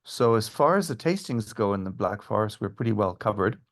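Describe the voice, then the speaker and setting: A man speaking as a tour guide and historian, giving informative, measured answers in an interview. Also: lowish voice, animated.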